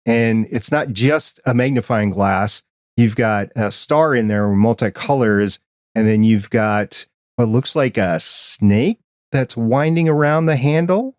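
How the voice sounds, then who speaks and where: A man's voice: mid-range voice, slow pace, dry recording. Curious male podcast host, dry sound. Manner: friendly, curious questioner. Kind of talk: casual interview chat.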